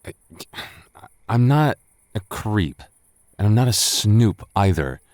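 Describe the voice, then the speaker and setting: A man's voice: lowish voice, big pitch swings, slow pace, darkish tone, no echo, clean studio sound. A man acting a tipsy young roommate who is teasing, flustered and secretly smitten, stammering and rambling in a boyfriend roleplay audio. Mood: defensive, flustered.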